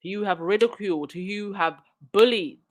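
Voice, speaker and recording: A woman's voice: medium pitch, moderate pace. Woman hosting a live stream with a cold. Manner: indignant, talks back to chat and supporters. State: stuffy nose from a cold. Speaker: livestream host. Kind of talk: live chat stream reading comments.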